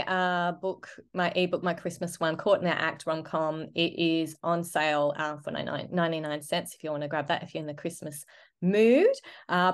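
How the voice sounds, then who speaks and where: A woman co-hosting a book podcast, talking casually and upbeat with plenty of fillers. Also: on the low side. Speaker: podcast co-host.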